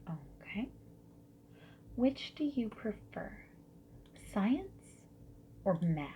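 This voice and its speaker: A woman doing a soft-spoken ASMR roleplay as a friendly survey interviewer, slipping between whispers and quiet speech. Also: mid-range voice, big pitch swings. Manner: friendly, gentle, unhurried questioning with soft acknowledgements. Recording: lo-fi muffled sound with background noise.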